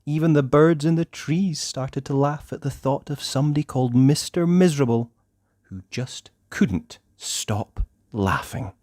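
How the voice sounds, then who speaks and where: A storyteller reading a children's book aloud in a theatrical, deliberate way with drawn-out emphasis. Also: medium pitch, a little mellow, dry recording, studio quality. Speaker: storybook narrator. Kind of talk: expressive children's read-aloud narration.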